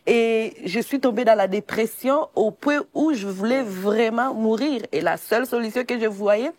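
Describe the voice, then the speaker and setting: A young woman from the Democratic Republic of Congo, sharing a personal testimony as a guest in a quiet, halting, pausing way. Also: a little high, dry recording. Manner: soft, halting, pausing often. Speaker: guest evangelist. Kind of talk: personal testimony in a faith talk show.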